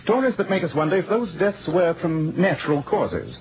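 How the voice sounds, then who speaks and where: An actor playing a brisk, commanding British gentleman detective, clipped and authoritative, in 1940s radio style. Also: a little high, big pitch swings, crowd noise. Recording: muffled old radio recording, background murmur.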